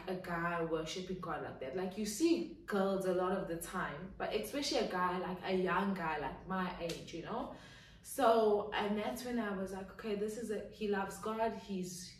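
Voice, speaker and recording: A woman in her twenties answering viewer questions in a chatty, giggly vlog with lots of fillers. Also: medium pitch. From South Africa. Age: 20s. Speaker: vlogger. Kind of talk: casual Q and A vlog. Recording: echoey room, distant mic.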